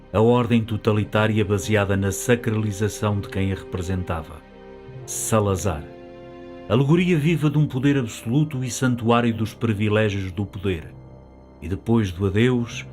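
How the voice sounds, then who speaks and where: A man's voice: fairly low pitch, darkish tone. A middle-aged man from Portugal narrating a documentary in the first person, in a reflective, nostalgic manner. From Portugal, later living in France.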